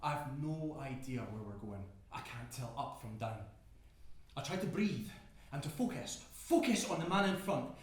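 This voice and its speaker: An actor playing a desperate oil rig worker fleeing a fire, with intense, emphatic delivery in a dramatic monologue. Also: male voice, medium pitch, lively intonation, normal speed, close to an everyday voice. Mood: urgent, breathless. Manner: intense, emphatic, swinging into screams. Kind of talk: dramatic first-person stage monologue. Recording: very echoey room.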